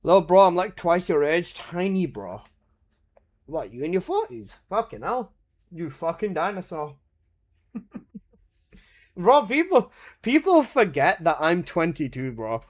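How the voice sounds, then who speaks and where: A man in his early twenties chats live with his stream audience while he plays. His talk is casual, teasing and full of swearing and quick laughs. His voice sits slightly on the high side for a man. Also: very animated. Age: 20s. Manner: joking, swearing banter with frequent laughs. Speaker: game streamer.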